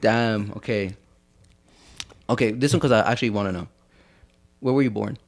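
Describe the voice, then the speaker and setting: A man's voice: fairly low pitch, moderate pace, vocal fry. A young man co-hosting a podcast, talking casually, joking around and using slang along with Spanish phrases. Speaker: podcast co-host. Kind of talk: casual chat.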